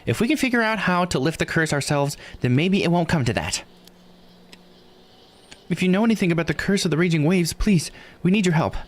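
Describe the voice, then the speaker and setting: Man voicing an earnest, animated young heroine, pitched up. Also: fairly quick, pauses often. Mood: earnest pleading. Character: earnest, curious, polite young woman, visual novel heroine. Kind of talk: visual novel character read aloud.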